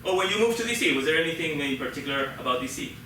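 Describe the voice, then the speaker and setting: A man from Peru hosting a casual live interview on stage, speaking informally and haltingly, often repeating words as he puts his questions. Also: fairly high pitch, expressive. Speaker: host interviewing a guest on stage. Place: live show venue with an audience of about fifty. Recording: roomy echo, distant mic, loud background noise.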